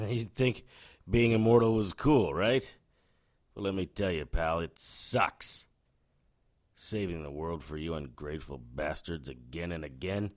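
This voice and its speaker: A man's voice: low-pitched, a little slow, darkish tone. An actor playing a weary, hard-drinking, sardonic male investigator in a noir audio drama. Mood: drunken bitterness.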